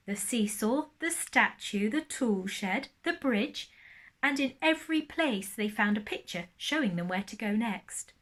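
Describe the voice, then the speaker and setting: A woman narrating a children's storybook like a primary school teacher, expressive and lingering on key words. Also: a little high, measured pace.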